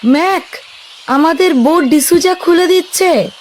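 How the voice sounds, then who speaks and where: A woman acting a young woman in an audio horror drama, speaking in a high, urgent, tense voice. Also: unhurried, a little hiss or hum. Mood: alarmed. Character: young woman on an eerie island, frightened and insistent.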